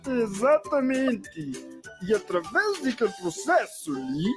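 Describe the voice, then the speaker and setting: A man voicing a playful cartoon science tutor, with a Cape Verdean accent and a sing-song, deliberate teaching delivery. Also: very high voice, sing-song, music in the background, heavily acted. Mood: instructive. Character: cartoon male science tutor Tuti, slow, playful, explanatory. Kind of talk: children's educational cartoon.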